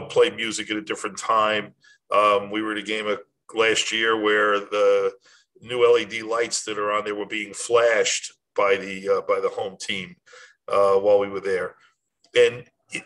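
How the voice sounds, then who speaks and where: Casting: older male host for informal advice segments, slightly low voice with a bright, forceful edge, plainspoken delivery. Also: poor audio. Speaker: host of a short athletic administration advice series. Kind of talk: informal talk to camera, instructional.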